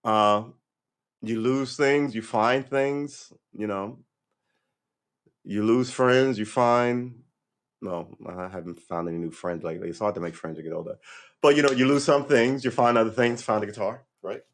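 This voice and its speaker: A man in his fifties in a rambling, reflective monologue, full of restarts and "you know", and his pitch jumps when he gets worked up. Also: normal speed. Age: 50s. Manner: lively pitch swings when animated. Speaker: vlogger. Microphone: livestream setup.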